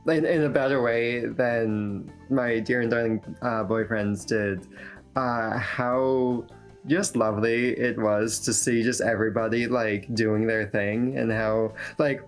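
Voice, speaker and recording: A man's voice: mid-range voice, steady tone, slow pace. Effusive man searching for words. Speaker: voice actor interviewed. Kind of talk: casual interview reflection. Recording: background music under the talk.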